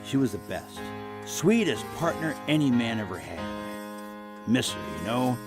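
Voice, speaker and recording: An actor playing a weary, wistful elderly American war veteran, reminiscing in a folksy, halting, rambling way as an audio drama monologue. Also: male voice, mid-range voice, big pitch swings, vocal fry, over music. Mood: wistful, tender.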